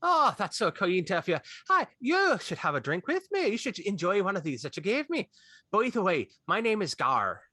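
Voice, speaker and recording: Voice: mid-range voice, sing-song, normal speed, pushed and bright, no room sound, studio quality. A player in a tabletop game speaking in character, friendly and sing-song.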